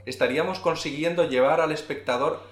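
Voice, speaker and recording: A man's voice: fairly high pitch, brisk. Male interviewer, roomy sound. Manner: probing, summarizing the guest. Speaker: radio interviewer, host. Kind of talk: interview questions. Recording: roomy echo.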